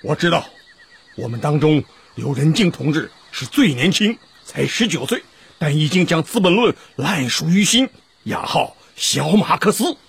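Historical radio drama delegate: wry mediator, slightly high, creaky, hesitant, lively. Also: male voice, moderate pace, full character voice. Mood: wry, conciliatory.

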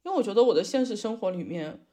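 Young woman with lively, swooping intonation. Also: medium pitch, very fast. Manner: emphatic, repeats words for stress. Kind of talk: casual podcast chat among friends.

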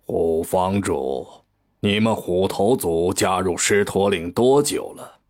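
Deep, slow, mighty demon king. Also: a little mellow, a little hiss or hum. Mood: measured, probing. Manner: slow, weighty, commanding. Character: mighty, stern, deliberate male demon king. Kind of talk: audio drama acting. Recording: music behind the voice. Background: music.

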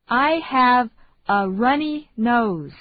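Slow, deliberate woman drilling phrases. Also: a little high, lively intonation, dry recording. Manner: slow, deliberate, carefully enunciated. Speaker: English phrase reader. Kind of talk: slow repeat-after-me drill. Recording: dry, tinny phone-like sound.

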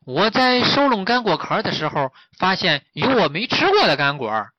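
A storyteller voicing a timid, earnest little pig in a children's story, high and loud. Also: sing-song, moderate pace, no room sound, dull audio, lightly acted. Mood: fretful.

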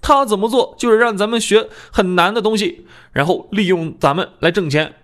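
A man's voice: high-pitched, a little fast, pitched above the performer's own voice, close to an everyday voice. A man voicing a disgruntled, cheeky fat apprentice in an audiobook, with an emphatic, complaining delivery. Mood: aggrieved.

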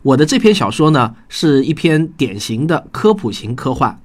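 A man's voice: medium pitch, big pitch swings, normal speed. A man hosting a podcast and talking to listeners about his own writing in an earnest, explanatory way. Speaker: podcast host and science fiction writer. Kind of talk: scripted podcast talk to listeners.

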